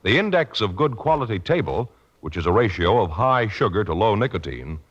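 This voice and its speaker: A man's voice: lowish voice, sing-song, fairly quick, slightly rough. A man with an American accent announcing in a solemn, emphatic 1950s radio style, reading a script. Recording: dull old radio recording. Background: music.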